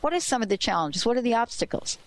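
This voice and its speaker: A woman's voice: fairly high pitch, sing-song, fast, dry recording. A woman hosting a talk radio show, introducing her guest in an encouraging, inspirational way.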